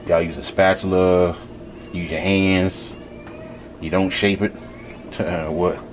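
A man in his thirties talks his viewers through a home recipe in an easygoing, slangy way. His voice sits a bit low. A heavy hum of air conditioning runs under everything in a small kitchen. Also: flat delivery, slow pace. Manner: laid-back, slangy, talks to viewers as "y'all". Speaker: vlog host cooking. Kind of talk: casual cooking vlog chat. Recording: loud hum behind voice.

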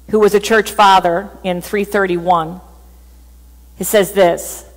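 Middle-aged woman pastor, sermon, casual and animated, mid pitch, long church hall reverb. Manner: chatty, animated, laughs at herself. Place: church stage with band gear.

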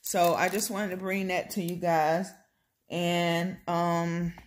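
Slow, chatty American woman vlogger. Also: fairly low pitch, little pitch movement, a little hiss or hum. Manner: chatty, unhurried, many ums and self-corrections. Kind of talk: casual product comparison vlog. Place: home table, indoors.

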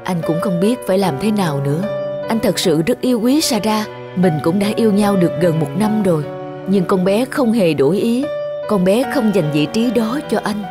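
Actor doing a gentle earnest young cartoon guy, hopeful stepdad type, music behind. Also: medium pitch, expressive, normal speed, lightly acted. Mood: troubled. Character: kind, earnest young man, hopeful stepfather. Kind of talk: cartoon voice acting.

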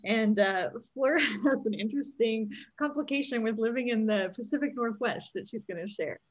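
A woman's voice: fairly high pitch, moderate pace, lo-fi sound. A woman in her forties hosting an online panel from a small home room over a video call, speaking in an upbeat, effusive way. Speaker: host.

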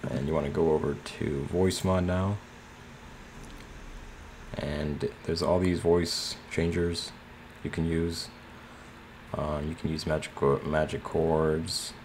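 Super low creaky guy doing a casual computer tutorial, noisy background. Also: little pitch movement, slow, a little mellow. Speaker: tutorial host. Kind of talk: casual screen tutorial narration. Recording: clear background noise.

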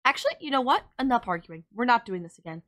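A woman's voice: fairly high pitch, big pitch swings, quick pace, a bit bright. A woman playing a sardonic villain nemesis in an audio roleplay, scolding and teasing the listener in an exasperated but caring way. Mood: exasperated concern.

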